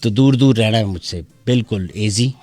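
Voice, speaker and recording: A male radio call-in host with a Pakistani Punjabi accent, bantering playfully and mock-seriously, teasing listeners and mixing languages. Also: lowish voice. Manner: switches between languages mid-talk. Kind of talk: playful live radio banter with comic monologue. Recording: loud music behind the voice. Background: music.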